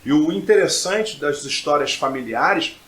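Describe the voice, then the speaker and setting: A man's voice: fairly high pitch, expressive, moderate pace, fairly bright tone, reverberant. A man, middle-aged, giving personal testimony as a podcast guest in an emphatic way, repeating phrases for effect. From Brazil. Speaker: podcast guest, pastor and preacher.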